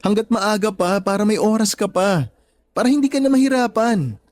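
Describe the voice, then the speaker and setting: A man's voice: high-pitched, normal speed, a little mellow, natural voice. A man acting a troubled, self-doubting young boyfriend in a Tagalog radio drama, speaking in an earnest, apologetic, emotional way. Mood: pained, apologetic.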